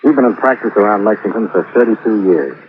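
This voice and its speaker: An actor playing a tough, plainspoken, shrewd investigator in a hardboiled vintage radio drama. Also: male voice, lowish voice, expressive, fast, lightly acted. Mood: firm, persuasive. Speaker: lead investigator and narrator. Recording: noisy old radio recording, narrow band.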